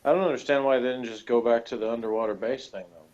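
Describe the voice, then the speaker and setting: Man, guest reviewer, middle pitch, hesitant flippant rambling, casual chat, dull lo-fi audio. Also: steady tone, fast, darkish tone.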